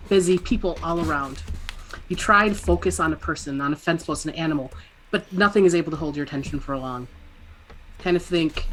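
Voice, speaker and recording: A woman narrating a tabletop game as its game master, easygoing and quick to laugh. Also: low-pitched, a bit bright, low-quality recording.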